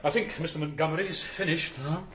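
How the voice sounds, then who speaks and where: A man's voice: a little high, steady tone, brisk, reverberant, background noise, natural voice. An actor playing a middle-aged, stiff and evasive adoptive father in a naturalistic radio drama. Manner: prim, evasive, self-justifying.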